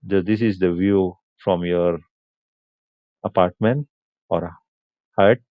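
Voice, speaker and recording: Slow-talking man. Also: low voice, steady tone, no room sound, narrow, tinny audio. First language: Punjabi. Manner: unhurried, chatty, answers comments, laughs often. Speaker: livestream host. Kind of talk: casual live stream chat with viewers.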